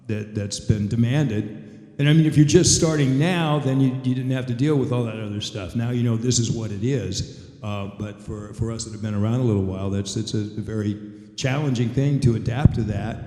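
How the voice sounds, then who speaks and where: A man's voice: mid-range voice, normal speed, dark tone. An older man, a veteran voice actor, giving conversational, plain-spoken advice with a wry, folksy manner on a panel. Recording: echoey hall, long reverb.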